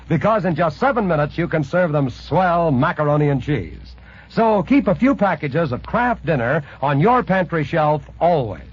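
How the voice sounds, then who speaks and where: An American man working as a radio announcer, delivering a scripted 1940s advertising pitch. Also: husky. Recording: muffled vintage radio recording.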